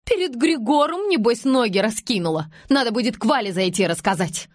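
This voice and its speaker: A woman's voice: fairly high pitch, expressive, a little fast, dry recording, studio quality, pitched above the performer's own voice. A woman voicing a brash, tactless village gossip, blurting and indignant, in an audiobook story. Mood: indignant, spiteful.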